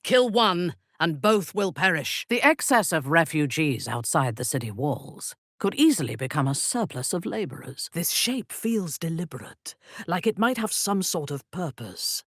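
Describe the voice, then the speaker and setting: Husky, imperious dark elf warrior woman. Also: low-pitched, lively intonation, no echo, studio quality. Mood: cold, calculating. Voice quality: husky, breathy. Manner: imperious, deliberate, formal diction. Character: haughty, ruthless drow elf warrior woman, commanding and menacing. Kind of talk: video game voice acting, dramatic character lines.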